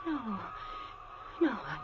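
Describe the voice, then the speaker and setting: An emotional, anxious wife in an old radio mystery. Her high voice swings widely with breathless melodrama. The recording is muffled and noisy. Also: big pitch swings, extremely slow, exaggerated voice. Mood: torn, pleading.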